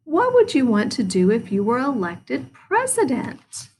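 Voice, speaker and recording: Woman as a kindly, high-voiced schoolteacher. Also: wildly swooping pitch, a little slow, darkish tone, slight background noise. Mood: patient. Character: kindly, patient female primary school teacher.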